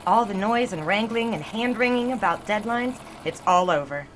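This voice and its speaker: An actress playing a reflective newspaper publisher's daughter in an audio drama, talking in a wistful, gently teasing way. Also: female voice, medium pitch, expressive, moderate pace, slight background noise, lightly acted, rough recording. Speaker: narrator and newspaper woman.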